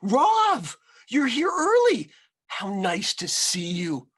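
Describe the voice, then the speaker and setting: A man voicing a kindly, chatty grandmother in her nineties from a storybook, fussy, welcoming and gently scolding. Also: very high voice, wildly swooping pitch, takes it slowly, breathy edge, pitched above the performer's own voice, exaggerated voice. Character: kindly, chatty ninety-seven-year-old village grandmother, hard of hearing.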